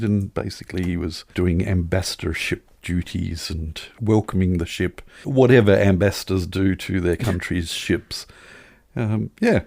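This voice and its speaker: A man's voice: low-pitched, gravelly, darkish tone, no echo, studio quality. A man chatting casually as a podcast co-host, telling anecdotes in wry, wordy turns of phrase.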